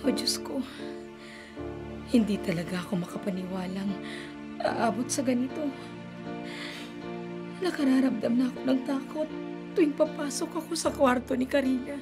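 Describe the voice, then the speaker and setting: A woman's voice: sits high, normal speed, pauses often. An actress playing an anxious older grandmother and mother in a radio horror drama, talking with fretful worry. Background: dramatic music.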